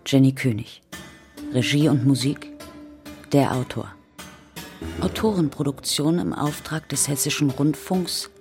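An actress playing a grave, sober chronicler of a plague in a scripted radio drama. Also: female voice, deep voice, mellow. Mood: announcing. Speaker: radio play narrator.

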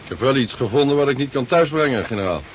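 A man's voice: medium pitch, expressive, fast, lightly acted. An actor playing a respectful subordinate reporting to his superiors in a Dutch science fiction radio drama from the 1970s. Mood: puzzled, wary. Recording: muffled, noisy old radio recording.

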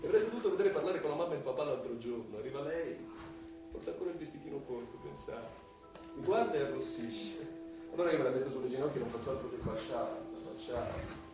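An actor playing a scheming, lecherous middle-aged landowner in a radio drama, speaking in a theatrical, insinuating way with pauses and hushed words. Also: male voice, medium pitch, animated, natural voice. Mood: lewd, self-satisfied. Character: scheming, lecherous widowed male landowner, insinuating and self-justifying. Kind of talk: Italian radio drama acting. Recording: very echoey, distant voice over music.

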